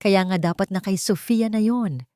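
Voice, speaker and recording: A woman's voice: mid-range voice, moderate pace, dark tone, dry recording, studio quality, voice pushed up, close to an everyday voice. A woman voicing a poised, rich fiancée in an audio drama, speaking dramatically with English phrases mixed in. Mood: poised, sweet. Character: poised rich fiancée, falsely sweet, theatrically distraught.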